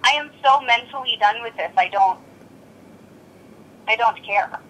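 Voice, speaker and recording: Exasperated higher-pitched woman on the phone. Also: unhurried, a bit bright. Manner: blunt, exasperated, swearing. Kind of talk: tense phone argument. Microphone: telephone. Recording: recorded telephone call.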